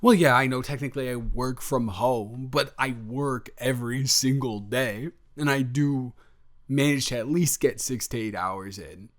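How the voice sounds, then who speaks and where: An eager golden retriever boyfriend, acted out by a man in an improvised roleplay audio. He has a mid-pitched male voice and gushes affectionately, rambling and tripping over his words in a playful, natural way. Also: unhurried, close to an everyday voice. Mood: excited, incredulous. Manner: gushing, rambling, stammering, playful.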